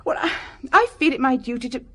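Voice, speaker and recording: An actress playing a prim, earnest, efficient secretary in an audio drama, speaking formally and precisely with reserve. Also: female voice, sits high, lively intonation, brisk. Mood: earnest, flustered.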